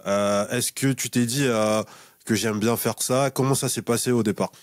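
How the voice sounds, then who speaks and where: A man's voice: mid-range voice, steady tone, moderate pace, no room sound, clean studio sound. A man hosting a podcast and interviewing in a casual, somewhat hesitant conversational way.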